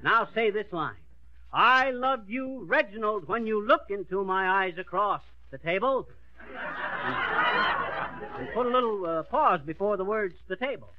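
A boastful, slangy American tall-tale man in a vintage radio comedy. His voice rides high and swings up and down freely. The old recording is dull and lo-fi. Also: expressive, unhurried. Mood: coaching, bossy. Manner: slangy, bragging, bossy. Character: folksy, boastful middle-aged tall-tale schemer running an acting school. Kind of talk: 1930s-40s radio comedy acting.

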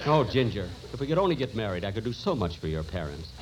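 An actor playing a lovesick, flustered doctor with melodramatic delivery in an old-time radio comedy. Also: male voice, lowish voice, very animated, quick pace, over a noisy crowd. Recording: very noisy old radio recording.

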